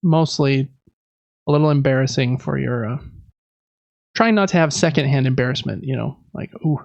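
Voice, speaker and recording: A man hosts a casual podcast with a gravelly, slightly raised voice. He rambles conversationally and pokes fun at himself. The recording is dry and a little muffled. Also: medium pitch, normal speed, a little mellow, dry recording. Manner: rambling, self-deprecating. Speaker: podcast host. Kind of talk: casual chat about games and life.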